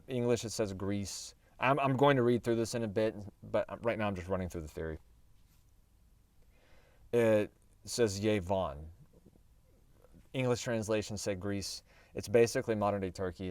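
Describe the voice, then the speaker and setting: A male host teaching Bible prophecy in a casual, rambling way. He corrects himself as he goes, and his voice is slightly hoarse. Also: lowish voice, darkish tone, no echo, far from the mic. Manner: chatty and rambling, with self-corrections and asides.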